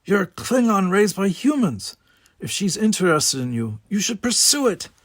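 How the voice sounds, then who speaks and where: A man's voice: sits high, very animated, normal speed, dry recording, voice pushed up. Man playing an earnest, exasperated young brother. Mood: insistent, urging. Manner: informal, urgent, pleading.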